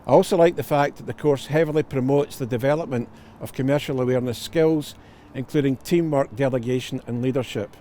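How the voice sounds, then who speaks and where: A man's voice: mid-range voice, fairly quick, few pauses, mellow, a little hiss or hum. A man, a solicitor and tutor, giving a scripted promotional testimonial with formal, careful phrasing.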